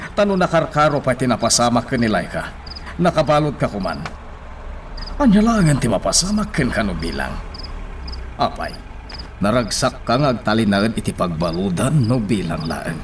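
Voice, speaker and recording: A man's voice: mid-range voice, sing-song, normal speed, pauses often, distant mic, close to an everyday voice. A man playing an exasperated, scolding father in a radio drama, with an Ilocano accent. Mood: angry, scolding. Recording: off-mic, faint insect and background noise.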